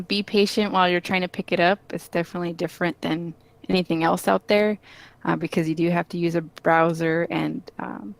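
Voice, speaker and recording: Female co-worker on a casual dev chat, lowish voice with strong vocal fry, agreeable and concise. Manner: brief, agreeable replies. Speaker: developer co-worker and guest.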